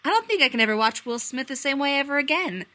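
A man's voice: extremely high pitch, animated, fast, bright, forceful tone, voice pushed up, full character voice. Woman reading as a jokey, chatty field agent. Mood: joking.

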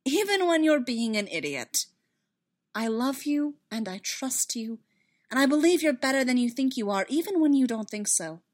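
A woman's voice: on the high side, very animated, unhurried, darkish tone. A woman voicing a loving, firm, earnest woman in an audiobook story, speaking with insistent emphasis.

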